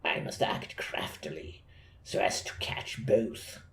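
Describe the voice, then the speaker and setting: A man voicing a sly, scheming male wolf in a hushed, low, creeping tone. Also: takes it slowly, vocal fry, echoey room, exaggerated voice.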